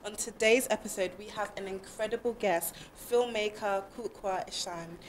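A woman's voice: medium pitch, normal speed. A woman host with a mid-range voice leads a studio interview, encouraging her guest and murmuring agreement. A faint background noise sits under her voice. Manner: encouraging, frequent affirming murmurs. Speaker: talk show host. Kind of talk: interview hosting. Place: studio set, seated.

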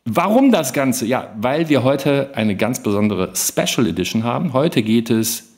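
A man's voice: mid-range voice, very animated, unhurried, a little mellow. A man co-hosting a podcast in a casual, chatty style. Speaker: podcast co-host.

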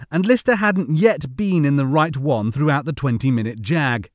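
A man's voice: medium pitch, no room sound, studio quality. A man narrating a comic science-fiction audiobook from a script.

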